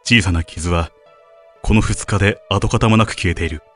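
A man acting a grave, devoted father and researcher narrating his diary in a measured, solemn way for an audio drama. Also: low voice, a bit bright, faint music behind. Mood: grave, reflective.

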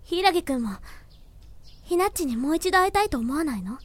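Casting: actress as supportive female club senior, high-pitched, earnest and caring, for audio drama. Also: animated, brisk. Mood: earnest, encouraging. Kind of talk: fan audio drama acting.

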